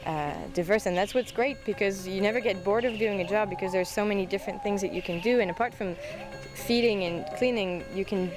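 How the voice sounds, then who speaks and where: A woman's voice: medium pitch, brisk, hardly any pauses, music in the background. A woman from Quebec, Canada, a volunteer, speaking enthusiastically.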